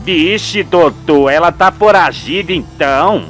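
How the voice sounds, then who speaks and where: A man's voice: a little high, slow, fairly bright tone, soft background music. A man voicing an indignant old cheesemaker, folksy and emphatic, in a radio soap opera. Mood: worried.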